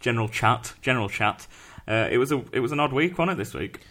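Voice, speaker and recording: A man's voice: mid-range voice, expressive, fast talker, sharp tone. A man co-hosting a casual football chat podcast, laid-back and laughing along.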